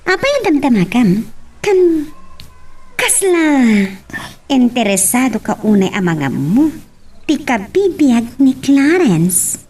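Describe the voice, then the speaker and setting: A woman playing a chatty, playful young wife in an audio love drama, with a Filipino accent and an animated, giggly delivery. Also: high-pitched, slow pace, husky, far from the mic, exaggerated voice. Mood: chatty, teasing.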